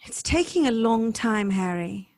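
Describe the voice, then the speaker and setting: A woman's voice: a little high, animated, a little slow, very noisy. A woman playing an earnest, compassionate and troubled wife in a radio drama, speaking formally and questioningly. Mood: doubtful, questioning.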